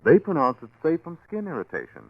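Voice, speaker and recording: Man, American, radio announcer, animated pitch, scripted sales delivery, dry and lo-fi vintage broadcast. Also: fast, no room sound.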